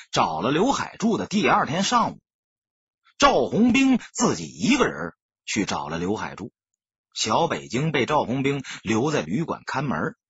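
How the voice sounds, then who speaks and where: A man with a raspy voice narrating a novel as a dramatic audiobook reader. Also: medium pitch, fairly bright tone, dry recording, muffled recording. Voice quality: husky, raspy.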